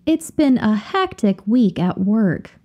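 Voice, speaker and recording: Slow, lively, mellow-toned woman teacher. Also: mid-range voice, lo-fi sound. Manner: careful, deliberate, each phrase repeated for learners. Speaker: language teacher reading example sentences. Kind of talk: slow, clear drill reading of example phrases.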